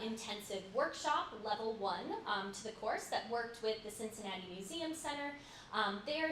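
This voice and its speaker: A woman instructor giving measured opening remarks to a graduate class. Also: on the high side, fairly bright tone, slight background noise. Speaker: course instructor. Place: lecture room. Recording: echoey room, distant mic.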